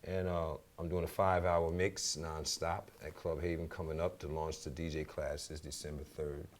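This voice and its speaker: A man's voice: rumbling low, little pitch movement, normal speed. A man with a New York accent chatting casually as an interview guest, rambling and slangy, with lots of "you know" and "man". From New York City. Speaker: DJ and music teacher, radio show guest.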